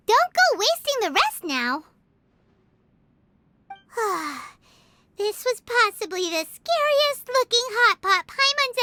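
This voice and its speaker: A woman voicing a tiny, childlike female fairy companion in a video game, cheeky, blunt and food-loving, with a squeaky voice. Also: exaggerated voice. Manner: excitable, blunt, third-person chatter. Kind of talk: animated video game voice acting. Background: background music.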